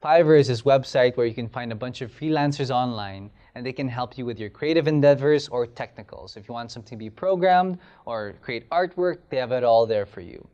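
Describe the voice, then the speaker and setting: A man's voice: medium pitch, fairly quick, few pauses, mellow, smooth, lo-fi sound. Man, podcast host, from the Philippines, upbeat, friendly, casual. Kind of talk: podcast intro and sponsor reads, then interview.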